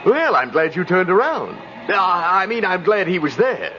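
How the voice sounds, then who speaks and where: Enthusiastic, flustered male colleague, sharp lively voice, 1950s radio drama, faint old-recording noise. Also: sits high, normal speed, lo-fi sound, exaggerated voice. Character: eager, nervous, admiring male store colleague.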